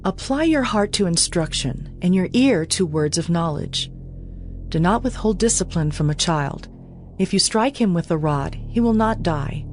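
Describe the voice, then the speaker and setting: A woman narrating scripture as a dramatized audiobook, in a measured, instructive manner. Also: fairly low pitch, expressive, a little mellow, soft background music.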